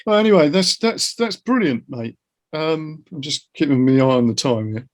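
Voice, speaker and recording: A man's voice: on the high side, animated, normal speed. A British man hosting a casual interview podcast in an encouraging, affirming way. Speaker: interview host.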